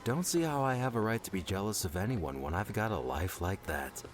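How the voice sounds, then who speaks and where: A low-voiced, guarded young male guardian, acted by a man in an audiobook. His delivery is exasperated and halting, with a very lively melody and faint music underneath. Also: lightly acted. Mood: sarcastic, irritated. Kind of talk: audiobook character dialogue.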